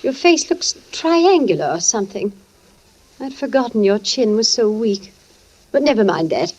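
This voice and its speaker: An actress playing an imperious, belittling middle-aged wife who scolds and gives orders in 1940s radio suspense style. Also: female voice, a little high, very animated, moderate pace, a little mellow. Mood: nagging. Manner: imperious, scolding, clipped commands. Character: bossy, nagging, belittling wife. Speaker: radio drama actor. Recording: muffled old radio recording.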